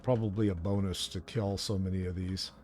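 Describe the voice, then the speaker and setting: A man's voice: low voice, fairly even intonation, brisk, a little mellow, a little hiss or hum. A man streaming a video game, casually thinking aloud in rambling commentary as he plays. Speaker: livestream host playing a video game.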